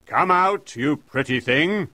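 Man acting a slow, pompous explorer professor. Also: on the high side, lively intonation, bright, forceful tone, no room sound, voice pushed up, heavily acted. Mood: proud. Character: bold, domineering male professor and explorer. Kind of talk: audiobook character voice.

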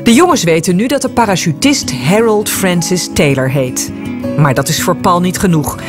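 A woman giving scripted documentary narration in a measured, even delivery. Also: lowish voice, music in the background.

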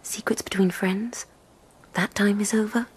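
An actress playing an anxious, defensive lady of the court in a radio drama, breathy and guarded. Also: mid-range voice, fairly even intonation, unhurried, a little hiss or hum, close to an everyday voice. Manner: formal, guarded.

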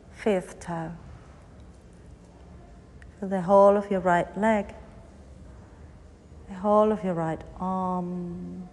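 A woman teaching yoga nidra, guiding a meditation in short phrases with pauses between them. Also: on the low side, a little mellow. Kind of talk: guided meditation, body scan narration. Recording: long hall reverb, faint background noise.

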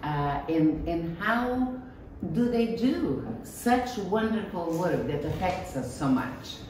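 A middle-aged woman with a Bulgarian accent, lecturing on her painting in a low voice and an unhurried delivery. She speaks plainly, now and then stopping to find the right word. The sound comes from far away in an echoey gallery room with steady background noise. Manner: plain, earnest, self-deprecating, searching for words. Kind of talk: informal art lecture. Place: small bright gallery room, indoors. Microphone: far camera, standing mic at a lectern.

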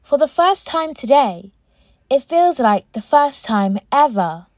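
A woman reading a children's picture book aloud with expressive, dramatic storytelling delivery. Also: sits high, slow pace. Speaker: storybook reader.

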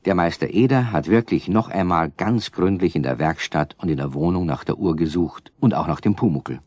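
A man narrating a children's radio play calmly, addressing the listeners directly. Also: low voice, moderate pace, dry recording, clean studio sound. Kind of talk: scripted storybook narration.

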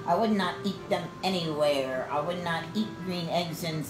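Older lady doing storytime, low voice, sing-song reading, kinda echoey home video. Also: over music. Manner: deliberate, sing-song reading with drawn-out phrases. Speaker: senior living resident reading a children's storybook. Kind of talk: read-aloud storytime narration. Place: small room indoors, in an armchair by a window. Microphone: camera a short distance away. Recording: roomy home video sound.

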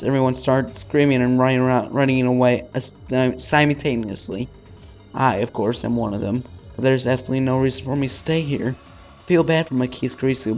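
A man's voice: medium pitch, darkish tone. A man hosting a let's play, reading visual novel narration aloud dramatically and stumbling over the text now and then. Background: faint game music.

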